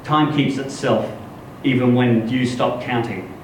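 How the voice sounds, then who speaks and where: A man reads literary fiction to a live event with expressive, dramatic storytelling. His mid-pitched voice comes from a distance in a very echoey room, with clear background noise. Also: unhurried. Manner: expressive storytelling, acts out dialogue. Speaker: story reader.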